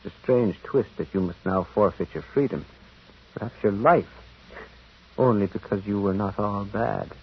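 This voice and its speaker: Casting: compassionate clergyman sleuth, lowish husky male voice, deliberate and hesitant, period radio drama on a muffled recording. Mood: grave compassion. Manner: hesitant, deliberate, quietly moralizing. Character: gentle, shrewd, compassionate priest detective. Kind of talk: 1940s American radio drama acting. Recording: muffled vintage radio broadcast.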